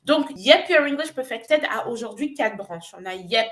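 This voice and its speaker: A woman from Nigeria pitching her coaching business with earnest persuasion. Her voice sits a little high, and she pauses often with small hesitations as she lays out her offer. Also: animated, a bit bright. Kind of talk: promotional pitch on a live show.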